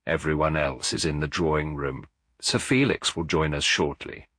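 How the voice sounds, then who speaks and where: A gracious young aristocratic hostess acted out by a man in a mystery audiobook. The voice is low and husky, lifting and falling freely, with formal, polite phrasing and a very dry, close sound. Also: fairly even intonation, moderate pace, a bit bright, no room sound. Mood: guarded.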